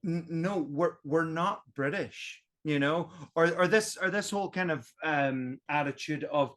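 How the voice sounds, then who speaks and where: Irish guy, a bit high-pitched, lively podcast guest who talks things through. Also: measured pace. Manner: animated, emphatic, thinks aloud. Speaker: guest history video creator. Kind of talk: casual roundtable podcast chat.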